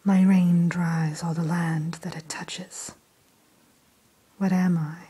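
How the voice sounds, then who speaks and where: Woman whispering soft asmr roleplay, mellow and kinda low. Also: little pitch movement, takes it slowly, slightly rough. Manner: mostly whispered, gentle and courteous. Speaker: dragon hatchery keeper in roleplay.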